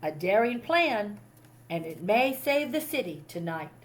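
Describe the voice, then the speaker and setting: A woman voicing an earnest, patriotic gentleman poet from a storybook, courteous and declaiming. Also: medium pitch, very animated, a little slow, slight background noise, voice pushed down. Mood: admiring.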